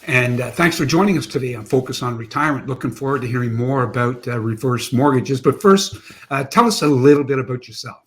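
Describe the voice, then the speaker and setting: An older man in his sixties hosts the interview from his home office over a video call, his voice sitting at an ordinary middle pitch. He keeps things friendly and chatty, tossing in joking asides between questions. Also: fairly quick, hardly any pauses, fairly bright tone. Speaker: show host. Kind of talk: casual interview hosting. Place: home office with framed certificates, indoors.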